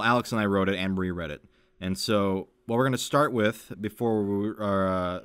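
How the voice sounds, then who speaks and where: A man's voice: fairly low pitch, clean tone, no room sound. A man chatting casually as a podcast host and reading aloud, explaining things in a hedging, self-deprecating way. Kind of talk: casual chat and reading old fan fiction aloud.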